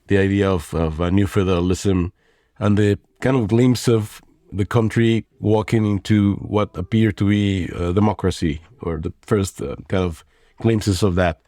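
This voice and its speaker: A man's voice: low voice, a little mellow, no echo, studio quality. A man in his forties from Mexico, a podcast guest who speaks thoughtfully with frequent restarts and fillers. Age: 40s. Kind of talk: conversational interview.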